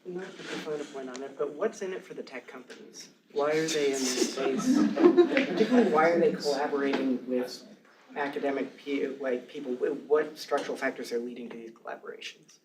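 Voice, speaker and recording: A man's voice: medium pitch, a little fast. A man asking a pointed, probing question from the audience at a talk. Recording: echoey room, distant mic, clear noise.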